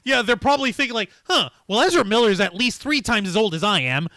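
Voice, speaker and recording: A man's voice: high voice, animated, quick pace, sharp tone, no echo, clean studio sound. A man hosting a live stream, joking casually in an irreverent, profane way. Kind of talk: casual joking panel chat. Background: music.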